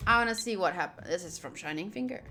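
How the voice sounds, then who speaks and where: A woman's voice: medium pitch, lively intonation, fast, sharp tone. A woman streamer chatting to viewers and reading game text aloud as live commentary. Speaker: streamer playing a visual novel. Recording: game music behind the voice.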